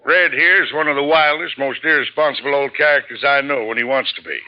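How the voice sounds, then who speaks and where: A man's voice: medium pitch, a little fast, soft background music, lightly acted. An actor playing a friendly, reasonable local man in a 1950s radio drama, easygoing and explaining, on a dull old recording.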